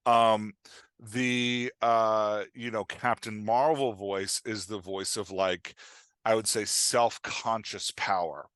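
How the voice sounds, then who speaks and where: A man talking casually as a podcast guest and writing coach, explaining in an informal, halting way with emphatic check-in questions. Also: on the low side, fairly even intonation, a bit bright, dry recording, studio quality.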